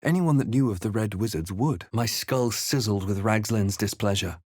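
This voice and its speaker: A man playing a solemn, thoughtful adventurer hero in a fantasy video game, speaking in measured, dramatic lines. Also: on the low side, a little mellow, dry recording, clean studio sound. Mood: solemn narration. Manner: measured, dramatic, literary delivery. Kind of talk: scripted game narration and character lines.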